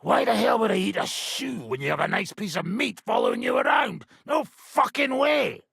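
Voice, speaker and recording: A rough, hard-drinking soldier from a video game, with an extremely raspy, gravel-filled voice. His pitch sits high for a man and swings wildly as he speaks, coarse and hot-tempered. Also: very animated, normal speed, fairly bright tone, no room sound, studio quality, full character voice. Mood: angry, aggressive. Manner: coarse, swearing, blunt. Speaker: game character voice actor. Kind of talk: video game character dialogue.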